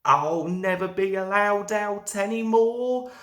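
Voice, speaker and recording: A woman's voice: mid-range voice, slow pace, natural voice. A woman voicing a proud young male steam engine who wails when sad and puffs with effort. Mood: woeful.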